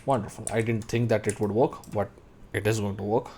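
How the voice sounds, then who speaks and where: A man's voice: on the low side, fairly even intonation, a little fast, mellow, slight background noise. A man in his thirties teaching 3D modeling, thinking aloud as he works and calling out keyboard shortcuts. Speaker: 3D modeling tutor. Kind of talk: screen-recorded software tutorial.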